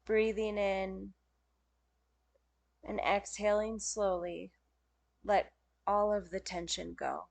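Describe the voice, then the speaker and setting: Slow-paced woman guiding meditation. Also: medium pitch, little pitch movement, creaky. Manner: gentle, unhurried, soothing instruction with pauses. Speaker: meditation teacher. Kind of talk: guided yoga nidra meditation.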